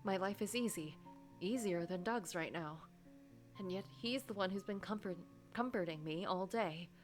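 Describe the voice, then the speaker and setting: An anxious office worker played by a woman narrates a story game with wounded drama. The delivery halts and dwells on guilt and self-loathing, with music softly behind. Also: medium pitch, moderate pace, natural voice. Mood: tearful confusion.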